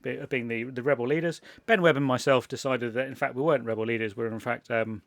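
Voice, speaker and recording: A man from England hosts a relaxed chat, wandering through his points with restarts and chuckles. His voice carries a gravelly edge, recorded dry and close. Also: mid-range voice, fast talker, no echo. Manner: rambling, self-interrupting, laughs often. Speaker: podcast host. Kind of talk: casual chat.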